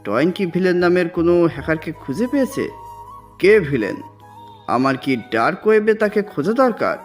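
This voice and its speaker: A male actor plays an anxious, brooding man in an audio drama. His voice is high and very animated as he questions himself. Faint music runs behind him. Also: moderate pace. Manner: agitated muttered questioning. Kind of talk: audio story acting.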